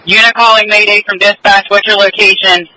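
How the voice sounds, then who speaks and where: A male fire dispatcher speaks formally over two-way radio. His voice is high and very sharp, and it stays flat in tone. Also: fairly quick, poor audio.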